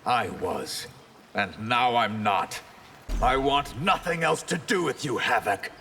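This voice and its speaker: An actor playing a proud, disillusioned water mage in a fighting game, grave and measured. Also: male voice, mid-range voice, animated, sharp tone, in a large hall, a little hiss or hum, exaggerated voice. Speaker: video game character. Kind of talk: dramatic fighting game dialogue.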